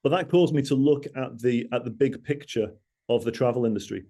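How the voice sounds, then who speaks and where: Mellow-voiced Manchester man, chatty asides. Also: mid-range voice, brisk. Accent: Mancunian English. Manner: rambling, self-correcting, with frequent asides. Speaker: guest speaker. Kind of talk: conversational presentation over video call.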